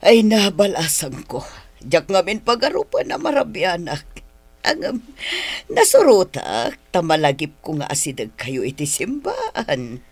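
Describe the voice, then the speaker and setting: A pious, inquisitive older auntie in a radio drama. She speaks with an Ilocano accent and lively swings of pitch, motherly and probing, in a dry studio sound. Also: measured pace, slightly rough, dry recording, exaggerated voice. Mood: concerned, probing.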